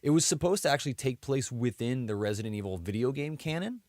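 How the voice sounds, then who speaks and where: Man, podcast co-host, conversational, middle pitch, dry recording, casual chat. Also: lively intonation, fast, a little mellow, no room sound. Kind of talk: casual chat about video game films.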